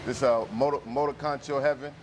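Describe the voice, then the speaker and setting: A man's voice: mid-range voice, fairly even intonation, fairly quick, a crowd in the background. A man hosting a casual, informal interview in a laid-back way. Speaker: video host interviewer. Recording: noisy outdoor recording with traffic.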